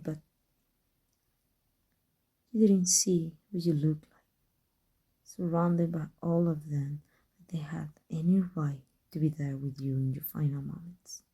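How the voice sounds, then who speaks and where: A woman playing an embittered villain secretly in love with a rival, in an intense ASMR roleplay monologue, husky and breathy, often dropping to a whisper. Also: lowish voice, dark tone, heavily acted.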